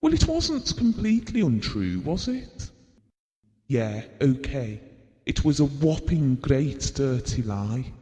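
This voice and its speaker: A man's voice: medium pitch, big pitch swings, slow, dark tone. An actor playing an earnest, devoted, guilt-prone middle-aged British husband and father, reminiscing confessionally in an audio drama. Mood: ashamed, confessional. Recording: reverberant hall-like room.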